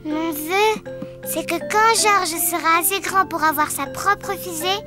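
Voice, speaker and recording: A woman voicing a cheerful, curious little girl pig in an excitable, childlike way for a children's cartoon dub. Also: very high voice, unhurried, over music. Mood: eager, curious.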